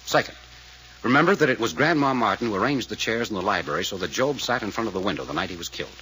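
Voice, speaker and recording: Brisk, low-voiced commanding detective, old radio. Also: male voice, lively intonation, bright, forceful tone. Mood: urgent, instructing. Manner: brisk, secretive, authoritative. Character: terse, commanding male detective laying a trap. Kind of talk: 1940s radio serial acting. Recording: noisy old-time radio recording.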